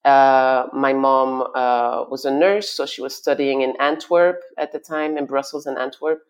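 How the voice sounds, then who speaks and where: A woman's voice: very deep voice, measured pace. A woman raised in Belgium, guest in an interview, talking about herself with many fillers and restarts. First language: French. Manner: hesitant, many fillers and restarts, laughs often. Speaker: guest, stylist. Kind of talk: conversational interview.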